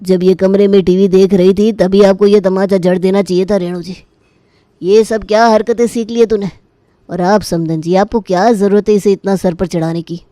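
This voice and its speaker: A woman's voice: medium pitch, fairly even intonation, normal speed, mellow, dry recording, close to an everyday voice. A woman voicing a disapproving older father-in-law who chides reproachfully in a family story. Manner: chiding, reproachful.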